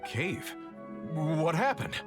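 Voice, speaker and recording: An actor playing an earnest, courteous leader of a search party in a fantasy game. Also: male voice, on the high side, animated, very slow. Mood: puzzled, relieved. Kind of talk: scripted fantasy game dialogue. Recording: game dialogue over clear music.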